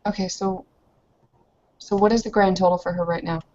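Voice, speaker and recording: Low-voiced woman playing a tabletop game, muffled. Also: steady tone, normal speed, mellow. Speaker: player in a tabletop roleplaying game. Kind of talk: casual game chat, describing her character's moves. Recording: dull lo-fi voice chat audio.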